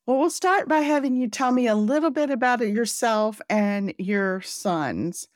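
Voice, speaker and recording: A woman's voice: on the high side, expressive, unhurried, no echo, clean studio sound. A woman working as an interviewer, putting questions to a guest on a podcast. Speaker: interviewer, senior writer.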